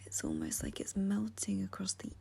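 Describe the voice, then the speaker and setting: A woman leading a guided meditation in a soft, breathy, whispery voice, gentle and soothing, with long pauses. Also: mid-range voice, wildly swooping pitch.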